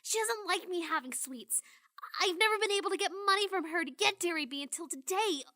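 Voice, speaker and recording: A very high-pitched young pegasus filly, acted by a woman, piercing and bright. She is shy and anxious, in the manner of a cartoon pony audio drama. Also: lively intonation, fairly quick, heavily acted. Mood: upset, confessing. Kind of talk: fan fiction audio drama acting.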